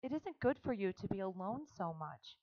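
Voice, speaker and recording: A woman's voice: mid-range voice, sing-song, normal speed. A stern, worried farm mother, as a woman reader puts her on, firm and scolding with her daughter. The storybook reading sounds dull and lo-fi. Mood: coaxing.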